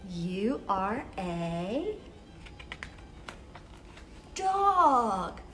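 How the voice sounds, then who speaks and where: A woman hosting a children's story time, reading picture books aloud expressively with measured, deliberate phrasing for young listeners. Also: mid-range voice, big pitch swings, fairly bright tone.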